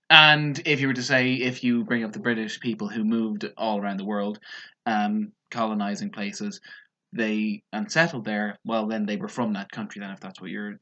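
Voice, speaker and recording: A man's voice: fairly low pitch, sharp tone. Man giving sarcastic commentary, dull sound. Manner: sarcastic, mocking, mimics others. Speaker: commentator reacting to a TV clip. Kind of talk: casual opinion commentary.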